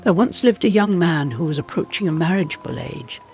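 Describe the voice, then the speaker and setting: A man with a slightly high voice narrates a folk tale. His reading is dramatic and expressive, swinging in pitch, with music underneath. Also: fairly quick. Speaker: storyteller narrator.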